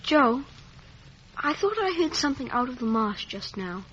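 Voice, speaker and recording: A woman's voice: high-pitched, very animated, normal speed. A woman voicing a timid, polite, frightened young boy in a radio drama. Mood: timid, curious. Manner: meek, anxious, deferential. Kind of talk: 1950s radio drama acting. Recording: muffled, noisy vintage radio recording.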